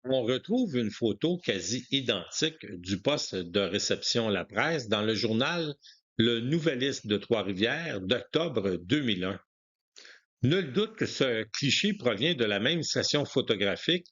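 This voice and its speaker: Guy narrating a history documentary from a script, medium pitch, kinda muffled lo-fi audio. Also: very animated, a little slow, a bit bright.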